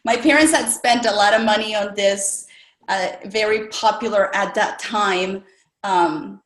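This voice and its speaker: A middle-aged woman whose first language is Spanish, being interviewed and telling her life story in a casual, earnest, reflective way with many pauses. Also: medium pitch, a bit bright. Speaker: interview guest. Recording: roomy echo.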